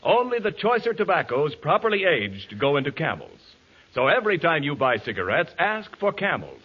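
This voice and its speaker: A man delivering a 1940s radio advertising pitch as the announcer. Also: huge pitch swings, moderate pace, husky. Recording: muffled old radio broadcast.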